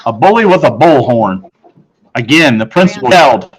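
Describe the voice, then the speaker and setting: A man reads a children's book aloud in an average-pitched voice. He is a teacher and tells the story expressively. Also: lively intonation, moderate pace, rough recording.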